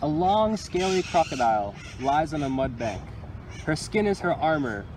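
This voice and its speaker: A young man reads a picture book aloud for little kids in a fairly high voice that moves up and down a lot. His phrasing is expressive and deliberate, like a storyteller. He is outdoors, with clear ambient noise around him. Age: young adult. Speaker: county environmental employee reading to children. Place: outdoors under a tree by water.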